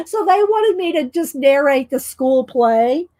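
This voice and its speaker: A woman's voice: high voice, lively intonation, takes it slowly. Chatty woman with a carrying voice. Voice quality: loud voice that carries. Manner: chatty and rambling, laughs often. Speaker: coloring channel host. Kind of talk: casual chat while coloring on a livestream.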